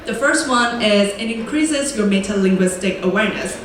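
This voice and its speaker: Young woman, native Vietnamese speaker, student presenter, measured and clear, recorded from far off in a very reverberant hall. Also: medium pitch, animated, fairly bright tone. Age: young adult. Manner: clear, deliberate, slows to stress key words. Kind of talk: prepared lecture presentation. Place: large echoey lecture room. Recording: very echoey room, voice from far off.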